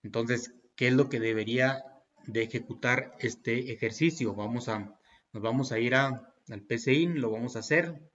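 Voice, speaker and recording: A man's voice: medium pitch, moderate pace, a bit bright, dull audio. Patient man explaining code step by step. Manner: patient, step-by-step, frequent fillers and self-corrections. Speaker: programming teacher. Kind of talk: screen-recorded tutorial walkthrough.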